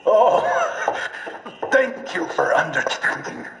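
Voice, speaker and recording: An oily, high-voiced doctor villain. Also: male voice, big pitch swings, slow pace, gravelly, a bit bright, exaggerated voice. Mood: nervous, obsequious. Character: oily male organ-harvesting doctor, fussy and money-minded. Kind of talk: video game cutscene acting. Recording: echoey room with long reverb.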